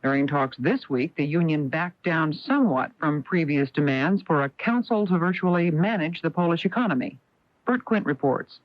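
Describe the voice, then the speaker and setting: A man's voice: a little high, normal speed, no echo. An American man reading a scripted newscast as a radio anchor. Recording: dull lo-fi vintage AM radio.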